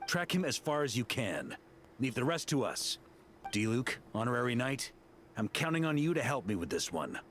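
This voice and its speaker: A man playing a seasoned, composed knight commander in a video game, speaking with deliberate authority. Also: medium pitch, sing-song, a bit bright, a little hiss or hum. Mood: commanding.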